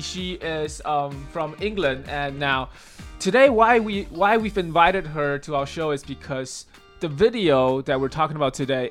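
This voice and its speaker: Male radio co-host, somewhat high voice, American accent with a trace of Singaporean, chatty and laughing, music faint underneath. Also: fairly bright tone. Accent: American with a slight Singaporean tinge. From Taiwan. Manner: chatty, laughs often, switches into Mandarin. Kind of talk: casual bilingual radio chat.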